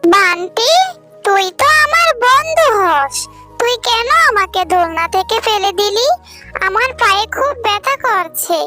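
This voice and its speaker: Cartoon cub girl, played by a woman: tiny, extremely high voice, childlike, whiny and coaxing, over music. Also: lively intonation, measured pace. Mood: hurt, complaining. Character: pleading, playful little girl animal cub. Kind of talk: children's animated cartoon dialogue.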